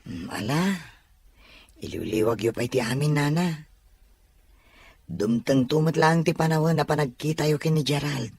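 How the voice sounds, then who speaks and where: An actor playing a grown child who gently reassures a worried mother in an Ilocano radio drama. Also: mid-range voice, expressive, moderate pace, long pauses, breathy edge, dry recording. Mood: reassuring.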